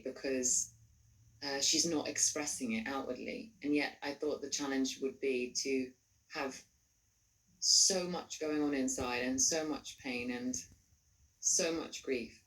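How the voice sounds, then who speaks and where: A woman actress answering thoughtfully in a panel Q&A over a video call. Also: extremely low pitch. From England. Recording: distant video call audio.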